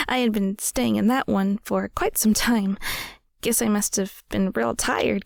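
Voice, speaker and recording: Woman as a playful, creaky-voiced winged sprite. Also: fairly high pitch, no room sound, studio quality, heavily acted. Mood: curious, gentle. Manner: casual, rambling, halting, quippy. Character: playful, easygoing, flustered female mistletoe sprite with wings. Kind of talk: intimate audio roleplay acting.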